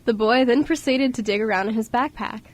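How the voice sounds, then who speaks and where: A woman's voice: a little high, brisk. A woman reading scripted story narration as narrator of an amateur radio play. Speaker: radio show co-host and narrator.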